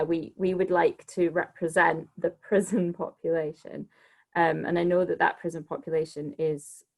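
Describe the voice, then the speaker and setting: A woman's voice: fairly low pitch, vocal fry. A woman speaking unscripted in a panel discussion, choosing her words in a hesitant, thoughtful way. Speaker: arts organisation speaker.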